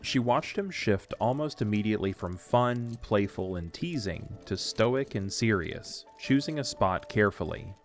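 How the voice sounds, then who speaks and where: A man's voice: lowish voice, animated, measured pace, few pauses, soft background music. A man reading scripted narration for an audio drama, telling a story in the third person.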